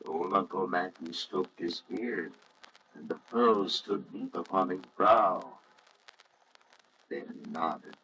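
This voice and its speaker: A man narrating a storybook radio drama in a solemn, reverent, measured way, with faint noise in the recording. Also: low voice, bright, forceful tone, low-quality recording.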